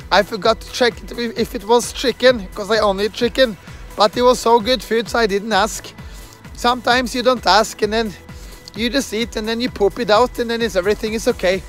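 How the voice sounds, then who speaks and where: A man in his thirties from Norway, speaking English with a Norwegian accent, talks haltingly to a phone held at arm's length as he walks outdoors at night. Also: sits high, steady tone, a bit bright, faint music behind. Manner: halting, many fillers and restarts, earnest. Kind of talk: casual walking vlog. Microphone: phone camera held at arm's length.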